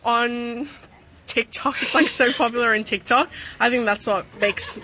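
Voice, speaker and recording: A young woman from Australia chats casually and cheerfully about a film location. Her voice sits a little high, with faint street ambience behind her. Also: a little slow, pushed and bright, slight background noise, low-quality recording. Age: 20s.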